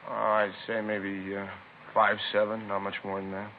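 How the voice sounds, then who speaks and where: An actor playing a chatty, put-out store manager, a robbery witness who talks in colloquial fragments, in naturalistic 1950s radio drama style. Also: male voice, on the low side, completely flat delivery, moderate pace, close to an everyday voice. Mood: obliging. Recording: lo-fi noisy 1950s radio recording.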